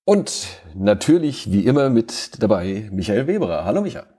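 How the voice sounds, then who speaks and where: A man's voice: lowish voice, sing-song, moderate pace, warm tone, breathy edge. A man hosting a casual podcast about his football club, chatty and joking, often breaking off and restarting his sentences. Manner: chatty, self-interrupting, joking. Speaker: podcast host. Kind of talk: casual fan podcast chat. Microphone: remote call.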